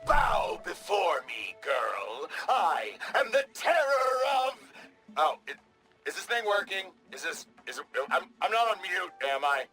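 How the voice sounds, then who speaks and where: An actor playing a bombastic but bumbling villain in an animated game, pompous and easily flustered. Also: male voice, high-pitched, measured pace, faint music behind, heavily acted. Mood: menacing. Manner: pompous, faltering. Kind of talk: animated game comedy acting.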